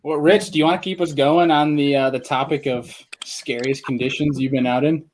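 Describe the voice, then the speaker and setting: A man's voice: fairly high pitch, steady tone, fairly quick, slight background noise. A man hosting a fishing podcast in a casual, chatty style. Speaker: podcast host. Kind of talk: casual chat.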